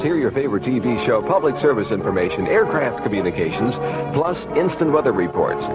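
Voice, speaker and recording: Swooping male radio ad announcer over music. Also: lowish voice. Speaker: commercial announcer. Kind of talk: scripted radio advertising read. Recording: dull vintage radio commercial. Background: loud music.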